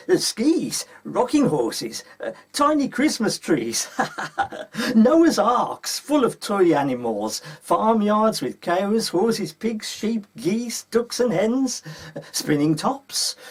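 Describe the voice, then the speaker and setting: A man with a husky voice reading a children's story aloud as a storyteller. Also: high voice.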